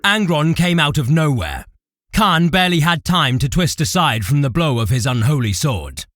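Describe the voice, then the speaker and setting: Male narrator for audio drama, lively swings in pitch, dry close studio sound. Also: normal speed, no echo. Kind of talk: dramatic scripted fiction narration.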